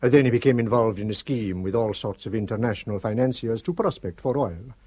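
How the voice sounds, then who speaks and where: An actor playing an earnest Shetland father in a radio drama, speaking in a measured way. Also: fairly low pitch, a little mellow, dry recording, lightly acted. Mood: explaining. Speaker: islander on the committee.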